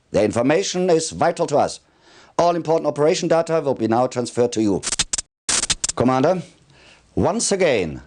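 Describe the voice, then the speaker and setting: An actor playing a formal military commander, giving measured, deliberate mission briefings in a video game cutscene. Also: male voice, medium pitch, darkish tone, close to an everyday voice.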